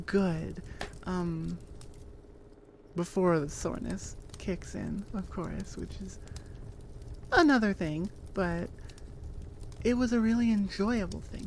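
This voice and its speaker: Slow-talking woman chatting casually to listeners. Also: medium pitch, a little mellow, slight background noise. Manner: rambling, self-correcting, informal. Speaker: audio roleplay creator, channel host. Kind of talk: casual, unscripted chat to listeners.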